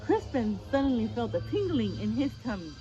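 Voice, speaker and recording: A woman reading a picture book aloud in an animated way, asking young listeners questions as she goes. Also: a little high, fairly quick. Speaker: children's story-time reader. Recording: dull lo-fi audio with music behind.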